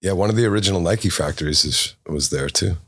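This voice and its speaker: A man's voice: sits low, fast, a bit bright, slightly rough, no echo, clean studio sound. A middle-aged man from New England, a podcast guest in casual chat, reminiscing in a laid-back, rambling way with many fillers. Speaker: podcast guest, apparel designer.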